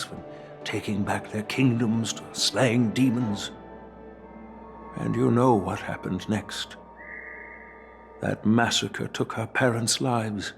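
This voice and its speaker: An actor playing an elderly master swordsmith and grandfather, telling a grave story in a measured voice in a game cutscene. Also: on the low side. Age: older. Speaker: game character. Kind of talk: video game cutscene voice acting.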